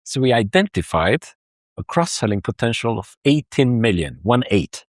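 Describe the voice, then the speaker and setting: A man's voice: mid-range voice, sing-song, normal speed, no room sound. Guy talking data consulting, lots of uhs and restarts, dry close sound. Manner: hesitant, many restarts and fillers. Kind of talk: casual business conversation.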